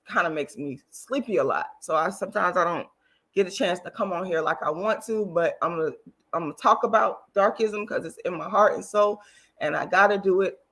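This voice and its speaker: A hoarse woman with a deep voice, hosting her own vlog in a blunt, indignant, repetitive way and swearing freely. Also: a bit bright. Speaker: channel host. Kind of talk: casual vlog monologue.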